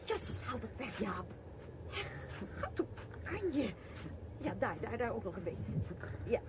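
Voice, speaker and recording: A woman's voice: fairly high pitch, very animated, measured pace. An actress playing a caring, flustered woman in a radio play, breathless and fussing as she repeats herself. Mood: alarmed, soothing. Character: flustered, caring woman tending to a stricken man. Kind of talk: radio play dialogue acting. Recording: loud background noise and ambience.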